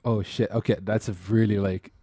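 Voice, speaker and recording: A man in his twenties from Brazil with an Irish accent, chatting as a podcast guest, rambling with halting restarts and frequent laughs. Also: fairly low pitch, flat delivery, mellow, dry recording. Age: 20s. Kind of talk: casual chat.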